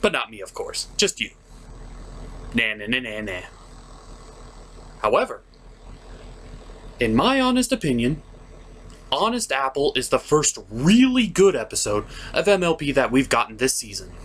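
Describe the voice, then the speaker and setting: A man's voice: mid-range voice, big pitch swings, unhurried, pauses often, a bit bright, slight background noise. A man hosting a comedic cartoon review, partly scripted and partly ad-libbed, with a sarcastic, theatrical delivery. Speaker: host of a cartoon review show.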